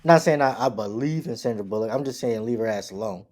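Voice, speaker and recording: A man co-hosting a casual podcast, telling anecdotes with animated bursts, laughing and swearing playfully. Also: mid-range voice, fast talker. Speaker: podcast co-host. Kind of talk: casual storytelling chat.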